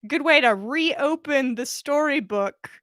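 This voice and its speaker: A woman hosting a podcast, speaking in an expressive, casual storytelling style. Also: high voice, slow pace, dry recording, clean studio sound. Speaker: podcast host reading fan fiction. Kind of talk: expressive story reading and casual livestream chat.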